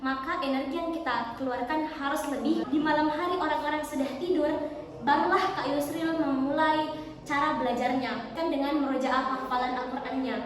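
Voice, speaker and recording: A high-voiced young woman explaining things as a guest on a school podcast, recorded in a long, ringing hall. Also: fairly even intonation, normal speed, a crowd in the background. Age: teens or young adult. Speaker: podcast guest, student book co-author. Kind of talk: explanatory interview answers. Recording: very echoey, reverberant hall.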